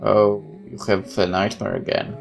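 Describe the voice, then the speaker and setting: Man, low creaky voice, let's player, reading story text aloud, casual asides, faint music behind. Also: level tone, a little slow. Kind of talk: reading a visual novel aloud with casual commentary.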